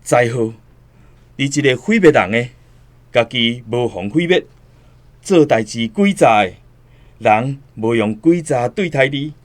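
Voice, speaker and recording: Radio play pastor, male actor: Taiwanese accent, pious and welcoming, recites like scripture, middling pitch. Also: expressive, unhurried, long pauses, natural voice. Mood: solemn, admonishing. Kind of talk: radio drama acting.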